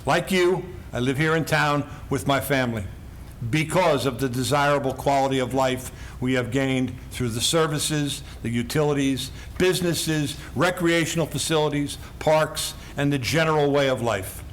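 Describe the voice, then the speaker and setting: A man's voice: mid-range voice, moderate pace, a little hiss or hum. An older man from New giving a formal, emphatic opening statement as a council candidate. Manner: formal, emphatic, list-like delivery.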